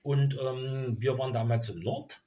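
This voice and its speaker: A middle-aged man from Berlin recalls his youth in a relaxed interview. He talks at an easy pace and fills his pauses with lots of ums and uhs. His voice sits in a plain middle pitch. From Berlin, Germany. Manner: hesitant, many fillers, rambling storytelling. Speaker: interview guest, former youth footballer. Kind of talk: casual conversational interview.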